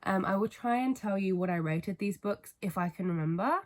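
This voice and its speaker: A woman's voice: mid-range voice, a little fast, a little mellow, soft background music. A woman in her twenties with a cold, her voice stuffy and sniffly, chatting casually and rambling to camera about books, with lots of fillers and apologies. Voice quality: stuffy, congested. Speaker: book vlogger. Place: family home bedroom, indoors.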